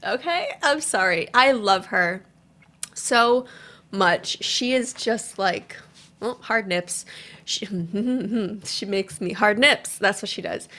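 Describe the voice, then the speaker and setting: A young woman giving casual, gushing and expressive reaction commentary as a gaming video host, recorded on a studio mic in a small home room. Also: mid-range voice, slow pace. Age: young adult. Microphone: boom-arm studio mic at desk.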